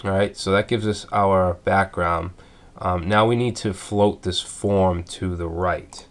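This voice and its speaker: A man with a stuffed-up voice from a cold, calmly explaining web code step by step in a screencast tutorial. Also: low-pitched, takes it slowly. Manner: methodical, thinking aloud with fillers. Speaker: coding tutorial instructor.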